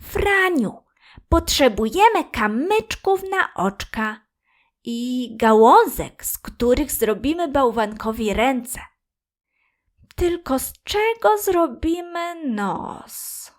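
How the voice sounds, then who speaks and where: A woman's voice: high voice, sing-song, slow, voice pushed up, exaggerated voice. A woman playing an eager, impatient little girl, a childlike big sister in a children's audio fairy tale. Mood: caring, eager.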